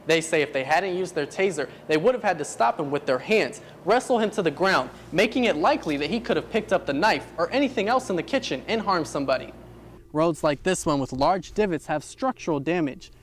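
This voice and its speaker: A man's voice: on the high side, lively intonation, fairly quick, a little hiss or hum. A man working as a television news reporter, delivering scripted reports and stand-ups on location.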